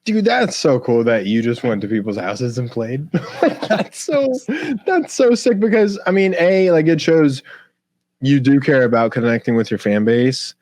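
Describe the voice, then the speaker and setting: An American man speaks as a podcast co-host in casual, slangy style. His pitch is average for a man. The recording is very dry and free of echo. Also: animated, measured pace, darkish tone. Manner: slangy, informal. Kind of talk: casual chat.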